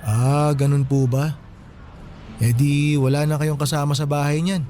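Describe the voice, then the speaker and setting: An actor playing a kindly, respectful Filipino security guard, speaking gently in a radio drama. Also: male voice, on the high side, flat delivery, unhurried, soft background music, lightly acted. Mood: curious.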